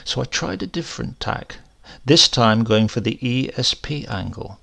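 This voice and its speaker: A man reading a first-person horror story aloud in a dramatic, confiding and expressive manner. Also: mid-range voice, normal speed, gravelly. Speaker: story reader.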